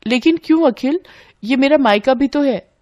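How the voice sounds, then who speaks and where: A woman's voice: high voice, normal speed, darkish tone, muffled recording, slight background noise, pitched above the performer's own voice, lightly acted. A woman voicing a spoiled, blunt young married woman with a breezy, pert manner in an audio story. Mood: complaining.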